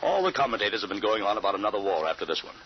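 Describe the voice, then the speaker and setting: A man's voice: lowish voice, very animated, rapid-fire. A man playing a doubting, bewildered American father in an old radio drama, with a hesitant, incredulous way of speaking. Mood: dismissive, incredulous. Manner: hesitant, incredulous, repeats words. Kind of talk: 1940s-50s radio drama acting. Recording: muffled, noisy old radio recording.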